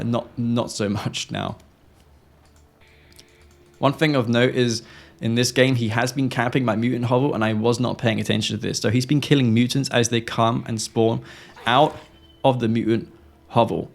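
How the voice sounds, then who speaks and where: A man commentates his own strategy game in a medium-pitched voice. He has a sore throat as he talks through the play. Faint noise sits behind his voice. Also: creaky. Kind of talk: gameplay commentary of own match.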